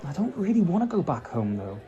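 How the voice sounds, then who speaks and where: A man's voice: on the high side, big pitch swings, fast talker, mellow, highs cut off, a faint crowd. A man playing a curious, earnest human companion to a time traveller in a fan audio drama, with a questioning manner. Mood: sincere.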